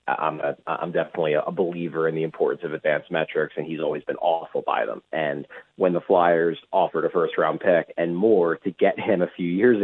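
Deep-voiced man on a tinny phone line. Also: breathy edge. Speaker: sports reporter. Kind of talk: analytical hockey talk on a radio interview.

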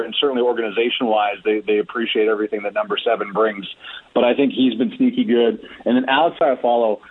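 A man's voice: medium pitch, breathy edge, no echo. Lo-fi male hockey reporter on radio. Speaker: hockey team reporter and podcaster, radio guest. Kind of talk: conversational sports analysis. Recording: dull lo-fi radio call-in audio.

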